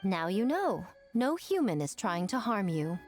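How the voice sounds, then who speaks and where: A woman playing a poised, solemn storyteller in a video game, speaking in a measured way. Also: medium pitch, very animated, a little mellow, soft background music. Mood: reassuring.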